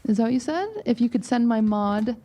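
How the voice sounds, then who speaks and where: A woman with a slightly high voice is livestreaming. She speaks casually, in the conversational style of a streamer addressing viewers. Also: a little fast, dark tone.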